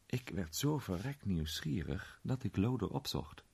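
An actor playing a curious amateur sleuth who tells a suspenseful mystery story in animated, colloquial radio drama narration. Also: male voice, lowish voice, measured pace, vocal fry, husky, heavily acted. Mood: intrigued, suspenseful. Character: curious male amateur sleuth recounting a suspenseful night adventure.

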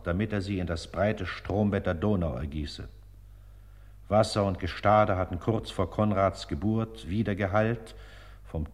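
A man's voice: lowish voice, lightly acted. An actor playing a solemn male preacher delivering a lofty, oratorical sermon in a radio play. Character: solemn male preacher praising a saint from the pulpit. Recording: long church-like reverb.

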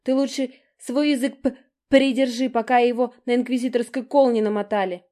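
A woman voicing a chatty, touchy young woman who stammers and breaks off words, excitable and whiny. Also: a little high, normal speed, husky, voice pushed up. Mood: shrill defiant.

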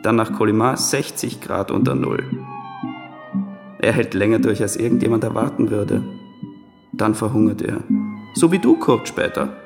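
An actor in a radio drama playing a mad murderer speaking from beyond death, detached and fatalistic. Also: male voice, fairly low pitch, normal speed, gravelly, long pauses. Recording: long reverb, guitar music behind.

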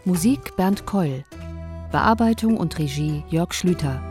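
Woman announcer doing radio play titles, unhurried, music playing behind her. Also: fairly low pitch, expressive. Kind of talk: scripted title and credits announcement.

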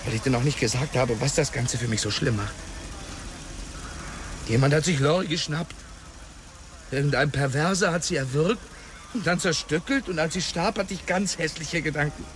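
A man's voice: a little high, moderate pace, pauses often, slight background noise, lightly acted. An actor playing a volatile police detective who pours out a bitter confession about his lost sister in a German radio drama. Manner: intense, confessional, pushy. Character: volatile male cop haunted by his sister.

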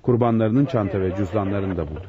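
An actor playing a dutiful, commanding police inspector in a radio drama, speaking deliberately. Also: male voice, lowish voice, a crowd in the background, natural voice. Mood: reporting, frustrated. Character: dutiful male police inspector leading a murder case. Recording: dull, noisy old radio broadcast.

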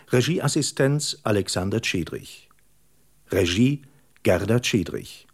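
A man reading radio drama credits as an announcer, in a formal scripted style. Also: on the low side.

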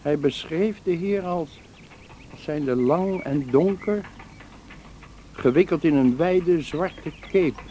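Mellow, grave country doctor. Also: male voice, a little high, slow, pauses often, a little hiss or hum. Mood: suspicious. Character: concerned, suspicious country doctor. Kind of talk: radio drama acting.

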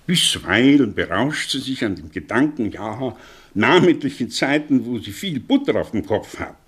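An actor playing a cynical, eloquent middle-aged professor in a mid-century radio play, with a theatrical, sardonic delivery. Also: male voice, medium pitch, big pitch swings, normal speed, husky. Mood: sardonic, declamatory.

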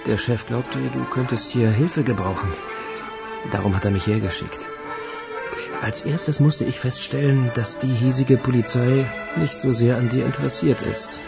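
Easygoing, sly male cop acted by a man for crime radio drama: gravelly, teasing, music underneath. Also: medium pitch, moderate pace, close to an everyday voice. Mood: teasing, businesslike. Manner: teasing, conspiratorial.

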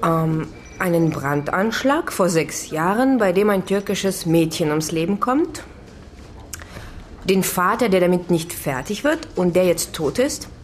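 A woman's voice: fairly low pitch, expressive, unhurried, slight background noise. A young woman playing an eager, inquisitive junior reporter in a naturalistic radio drama. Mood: eager, thoughtful. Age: young adult.

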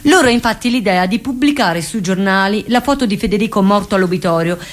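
A woman's voice: medium pitch, a little fast. Casting: female narrator for scripted documentary theatre, middle-register voice.

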